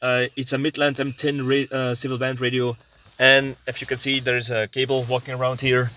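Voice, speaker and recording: Male vlogger, Belgian accent, mid-pitched sharp bright voice, casual and hesitant delivery, often searching for words. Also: flat delivery, normal speed. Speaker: prepper video blogger. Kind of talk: casual rambling vlog talk. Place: inside a parked car.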